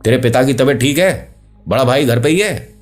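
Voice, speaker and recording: A man voicing an elderly village uncle who urgently and insistently scolds a youngster for being out in the dark. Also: sits low, moderate pace, faint music behind.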